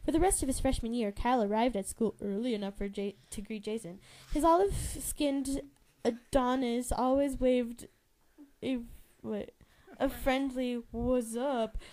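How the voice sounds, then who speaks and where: Young woman, high voice, amateur novel narration, informal, giggly, self-correcting stumbles. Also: warm tone. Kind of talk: informal dramatic reading of a novel.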